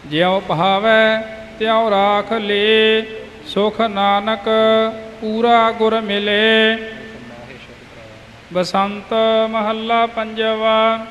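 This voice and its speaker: High voiced guy chanting scripture really slowly, long echoey hall sound. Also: little pitch movement, a bit bright. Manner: measured, half-sung phrasing. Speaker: student reciting scripture. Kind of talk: slow, chanted Sikh scripture recitation. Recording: long hall reverb, music.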